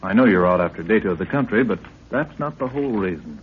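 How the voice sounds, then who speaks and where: A tinny, slightly noisy vintage recording of a man acting a curious expedition assistant. He is deferential and wry, with a fairly low voice. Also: expressive, quick pace, close to an everyday voice. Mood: curious, respectful. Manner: polite, questioning, wry. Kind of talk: 1930s radio adventure acting.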